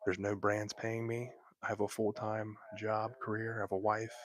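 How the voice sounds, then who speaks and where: A young man whispering softly into a close microphone while hosting a laid-back late-night livestream from bed at home. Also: lowish voice, little pitch movement, moderate pace, dry recording. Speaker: livestream host.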